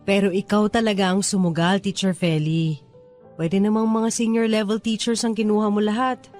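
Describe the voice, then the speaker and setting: A woman's voice: medium pitch, fairly even intonation, normal speed, darkish tone, faint music behind, close to an everyday voice. A woman playing a humble, grateful teacher in a radio drama, earnest and deferential toward her mentor. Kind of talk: radio drama dialogue in Taglish.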